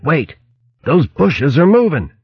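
A man's voice: mid-range voice, very animated, normal speed, husky, dry recording, dull audio, pitched above the performer's own voice. A man voicing a trusting, hearty mouse sailor in a storybook, speaking in earnest, plain seafaring talk. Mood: urgent alarm.

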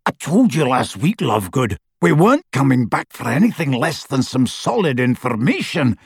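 A man voicing a brutish, sneering dark-wizard henchman in an audiobook, with a rough voice and a scornful, threatening manner. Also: medium pitch, very animated, a little slow, no echo, studio quality, exaggerated voice. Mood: gruff scorn. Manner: scornful, taunting, roaring. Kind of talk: audiobook character voice.

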